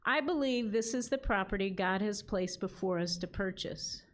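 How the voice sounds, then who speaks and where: A woman's voice: medium pitch, normal speed. A woman delivers an earnest prepared speech at a gala. She reads steadily from a script, speaking as the leader of a ministry.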